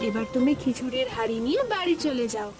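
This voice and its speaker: Magic fairy, high-pitched, gentle, kindly, reassuring, cartoon voice acting. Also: female voice, animated, fast talker, soft background music.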